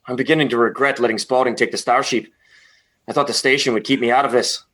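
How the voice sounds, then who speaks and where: An actor playing a gruff but friendly station chief in a comedy sci-fi radio show. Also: male voice, mid-range voice, fairly even intonation, fast, a bit bright, close to an everyday voice. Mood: rueful.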